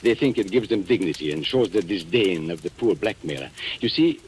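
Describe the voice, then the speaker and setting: An old radio mystery recording with some hiss carries a suave villain. His voice sits fairly low and swings with theatrical relish. He is polite and smug, a menacing shopkeeper who taunts as he blackmails. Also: lively intonation, fairly quick, a little mellow. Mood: boastful. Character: suave, menacing male antique-shop owner and blackmailer.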